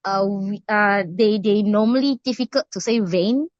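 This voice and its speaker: A woman's voice: mid-range voice, normal speed, dry recording. A middle-aged Indonesian woman with an Indonesian accent, answering interview questions hesitantly with many fillers and restarts. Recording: muffled dry recording.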